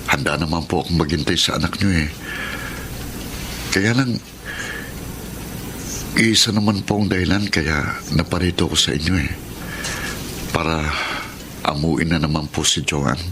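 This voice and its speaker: Patient, apologetic young Filipino boyfriend for radio romance; low register, polite, careful delivery. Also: male voice, measured pace, pauses often. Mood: polite, troubled. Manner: placating, hesitant, polite. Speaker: radio drama actor. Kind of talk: Philippine radio romance drama acting. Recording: noisy radio drama broadcast.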